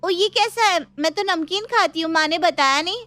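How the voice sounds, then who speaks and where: A woman's voice: very high voice, normal speed, bright, forceful tone, dry recording, voice pushed up. A woman voicing a whiny, fussy young sister-in-law in a story, exclaiming and complaining. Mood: annoyed, complaining.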